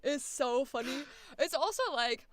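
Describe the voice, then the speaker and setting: Casting: high, bright woman voice actor, animated and profane chat, dry close sound. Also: unhurried, no echo. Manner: animated, swears a lot, laughs often. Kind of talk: casual chat.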